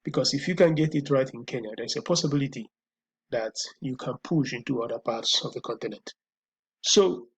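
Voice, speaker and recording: Husky Nigerian man musing aloud. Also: fairly high pitch. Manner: repetitive, thinking aloud with restarts. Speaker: host. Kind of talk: solo commentary monologue.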